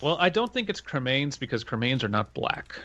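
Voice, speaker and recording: A man with a bright, forceful mid-pitched voice reads a story aloud as a podcast co-host. He breaks in with dry, casual asides. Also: big pitch swings, brisk, highs cut off.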